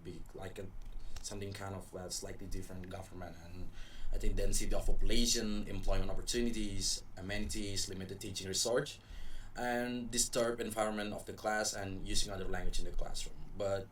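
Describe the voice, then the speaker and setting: A young man with a very low voice answers interview questions, often repeating a word while he thinks. He is recorded from some distance. Faint background noise sits behind him. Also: fairly even intonation, a little fast, fairly bright tone. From Papua, Indonesia. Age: young adult. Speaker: college student guest.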